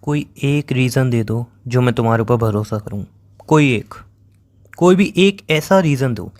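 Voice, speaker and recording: A man's voice: medium pitch, a little slow, darkish tone, lightly acted. A man playing a hurt, accusing young boyfriend in a dramatized breakup phone call, pleading and sarcastic and repeating himself for emphasis. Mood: disbelieving, bitter. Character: hurt, accusing young boyfriend confronting his cheating girlfriend.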